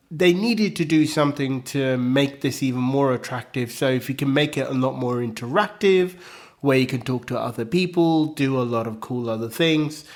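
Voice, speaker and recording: A man hosting a solo tech news podcast, chatty and upbeat with frequent ums, his voice slightly croaky from a cold. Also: mid-range voice. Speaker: podcast host.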